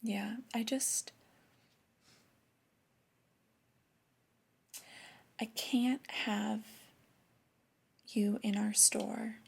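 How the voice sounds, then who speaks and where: A woman playing a flirty, disapproving female boss in a soft-spoken ASMR roleplay, breathy and half-whispered, with a gentle, hesitant, intimate delivery. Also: a little high, level tone, extremely slow, exaggerated voice. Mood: reluctant, stern. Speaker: ASMR performer.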